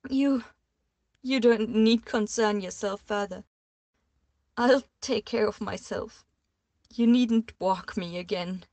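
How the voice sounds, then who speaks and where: A woman's voice: medium pitch, takes it slowly, darkish tone, no echo. A woman voicing a distraught young woman who pleads through tears, her voice trembling and breathless. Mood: tearful defiance. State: crying, hyperventilating.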